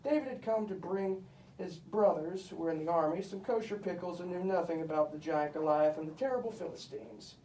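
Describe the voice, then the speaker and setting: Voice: medium pitch, brisk, darkish tone, close to an everyday voice. A performer voicing a boastful, bossy puppet storyteller, pompous and emphatic, telling comic Bible tales. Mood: self-important storytelling. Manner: pompous, emphatic, mock-scholarly. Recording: echoey room, distant mic, faint noise.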